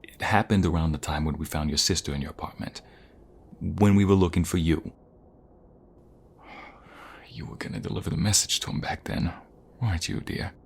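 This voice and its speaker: A very deep-voiced mobster, acted by a man, devoted and protective in an ASMR roleplay. His voice crackles with gravel and he speaks in an earnest, intimate way. Ambient noise sits clearly behind him. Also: fairly even intonation, moderate pace, long pauses. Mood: calm, concerned. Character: protective reformed mobster, devoted tender fiancé.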